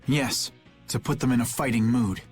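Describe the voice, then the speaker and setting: An earnest young knight from a game cutscene, played by a man. His mid-pitched voice is serious and measured, full of duty, with music playing underneath. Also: fairly even intonation, lightly acted. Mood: serious, resolute. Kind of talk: dramatic video game voice acting. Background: background music.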